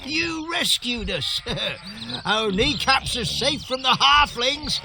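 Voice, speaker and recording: High-voiced jittery peasant. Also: male voice, lively intonation, takes it slowly, a crowd in the background, heavily acted. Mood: relieved, chatty. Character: jittery, dim, boastful peasant man on village watch. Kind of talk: comic video game character acting.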